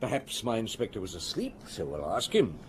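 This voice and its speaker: An actor playing a courteous but firm, sceptical police chief inspector in a radio drama. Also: male voice, lowish voice, huge pitch swings, brisk, a little hiss or hum.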